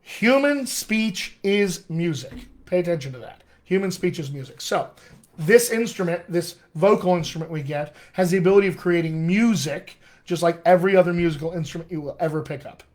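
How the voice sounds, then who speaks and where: A middle-aged American man, a dialect coach, teaching a live online class in an animated, friendly way, often calling his viewers "my friends.". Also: sits high, a little fast. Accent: American English. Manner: animated, addresses viewers as "my friends", chuckles at own asides. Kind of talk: live-streamed teaching session.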